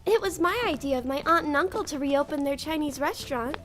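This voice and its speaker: A woman's voice: high voice, a little fast, fairly bright tone, soft background music. A woman voicing a chirpy, self-absorbed young waitress and would-be singer in a parody anime dub. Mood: perky. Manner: perky, gushing.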